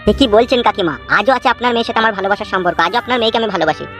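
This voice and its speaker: A man's voice: high-pitched, rapid-fire, fairly bright tone. A smitten young cartoon suitor with a Bengali accent played by a man. He talks at breakneck speed, eager, wheedling and boastful. Music sits behind the voice. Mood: lovesick, vengeful. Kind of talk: comedy cartoon dialogue.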